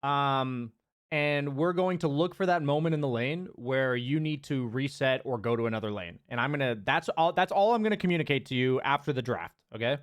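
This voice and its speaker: A relaxed man coaching a player live on his stream. He talks loosely, with plenty of fillers and the odd swear word, at a middle pitch for a man. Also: a little fast. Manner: loose and chatty, many fillers, casual swearing. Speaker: game coach. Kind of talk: casual one-on-one coaching. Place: streaming from home.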